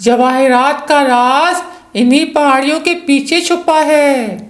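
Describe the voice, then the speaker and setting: Brave cartoon panther adventurer, played by a man, very high voice, earnest and resolute, roomy echo. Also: fairly even intonation, slow pace. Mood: determined.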